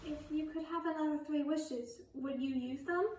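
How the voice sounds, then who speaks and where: Young woman as eager, high-voiced daughter. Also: steady tone, normal speed, recorded from a distance, background noise, lightly acted. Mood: eager, curious. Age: young adult. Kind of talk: amateur high school stage acting. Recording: echoey room.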